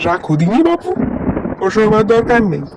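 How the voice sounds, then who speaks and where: A woman's voice: mid-range voice, big pitch swings, moderate pace, heavy background noise. A woman voicing an older village man in a children's cartoon, folksy and emphatic. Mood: grumbling. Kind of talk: children's cartoon story acting.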